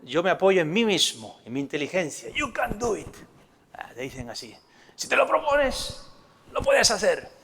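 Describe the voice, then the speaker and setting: A priest from Spain with a Castilian Spanish accent delivers a sermon, his voice sitting a little high for a man. He teaches earnestly and poses rhetorical questions to his listeners. The sound carries a long reverb, as if in a large church hall. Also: big pitch swings, moderate pace, vocal fry, a bit bright, slight background noise.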